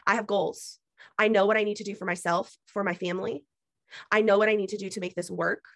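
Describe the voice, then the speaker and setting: Woman, hoarse, preachy pep talk, repeats herself, video call. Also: medium pitch, fairly even intonation, quick pace, no room sound, studio quality. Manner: preachy, emphatic, repetitive. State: losing her voice. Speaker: business team leader.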